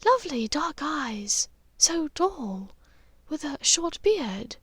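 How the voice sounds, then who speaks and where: A woman voicing a gentle, wistful, troubled witch and mother in a story, speaking softly and with measure. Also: sits high, very animated, takes it slowly, no echo, voice pushed up, heavily acted.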